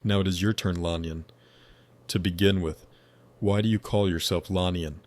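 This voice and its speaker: A man gives voice to a wise angelic being from a higher dimension, a male spirit who talks in a measured, formal way. His voice sits very low. He asks his questions with gentle curiosity. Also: steady tone, lightly acted. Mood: calm, friendly. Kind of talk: scripted dialogue.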